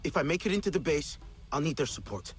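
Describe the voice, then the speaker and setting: A man's voice: a little high, very animated, fast talker, soft background music. A man playing an earnest young alien resistance fighter in a video game cutscene.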